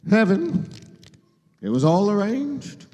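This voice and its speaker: A man playing a kindly, innocent old guardian angel in a live radio play, fussy and gentle, with a stammer. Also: sits high, very animated, slow pace, slight background noise, full character voice. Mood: gentle, matter-of-fact. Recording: hall reverb of live stage.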